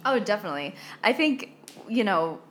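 A woman's voice: mid-range voice, sing-song, normal speed, a bit bright, a little hiss or hum. An American woman co-hosting a podcast in casual chat, speaking in a joking, self-deprecating way. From United States. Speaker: podcast co-host.

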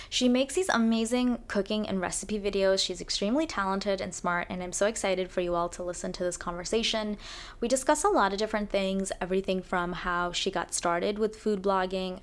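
Podcast host, female, mid-range pitch, presenting episodes and putting questions to guests. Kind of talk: podcast introduction and interview.

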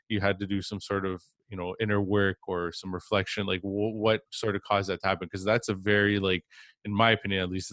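Podcast host, male, very low and even voice, rambling with fillers, dry close sound. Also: no echo. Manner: rambling, many fillers and restarts. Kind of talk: casual interview chat.

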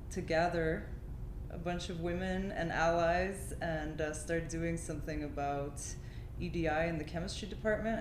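A young woman born in Poland, raised in Canada, guiding a casual podcast chat in a friendly way. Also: low voice. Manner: friendly, laughs easily, guides the talk. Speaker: podcast co-host and moderator. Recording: echoey room, light noise.

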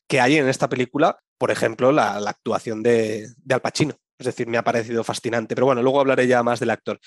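A man's voice: mid-range voice, dry recording. A man with a Spain Spanish accent co-hosts a casual film podcast. He rambles with enthusiasm, restarting his phrases. His voice is recorded dry and close, with no room sound. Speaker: podcast co-host.

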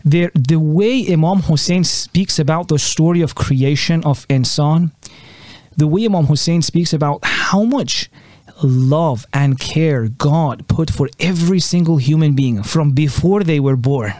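A high-pitched man explains with earnest emphasis, his voice jumping upward when he makes a point. The sound is close and dry, with no room echo. He talks in a relaxed conversational way and presses hard on the ideas he cares about. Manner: earnest, emphatic, quick rising exclamations. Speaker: Islamic scholar and guest. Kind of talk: animated conversational talk.

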